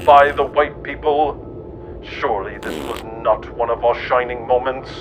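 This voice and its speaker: A man reading scripted satirical narration in a mock-serious documentary manner. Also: medium pitch. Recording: muffled recording with clear background noise.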